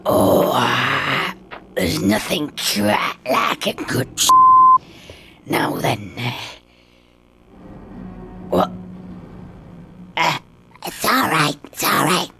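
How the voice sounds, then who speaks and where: An actor voicing a slow-witted, gruff guard creature in a cartoon video game, plodding and easily confused. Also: mid-range voice, very animated, background noise, exaggerated voice. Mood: flustered.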